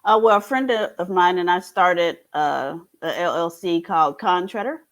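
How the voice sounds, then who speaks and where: Lower-pitched woman chatting on a panel. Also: moderate pace. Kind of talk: casual panel discussion.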